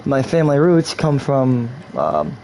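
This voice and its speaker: A man's voice: mid-range voice, measured pace, warm tone, slight background noise. A man from New York rambling through casual game stream commentary, repeating himself and slipping in and out of a put-on English accent. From New York, USA.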